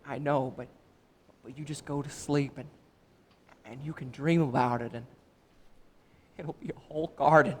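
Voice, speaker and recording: A man's voice: medium pitch, fairly even intonation, moderate pace, pauses often, slightly rough, in a large hall, close to an everyday voice. A young man acting a small-town father at breaking point in a live radio play, stammering and repeating words. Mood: tender. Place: theater stage with audience. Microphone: vintage stand microphone.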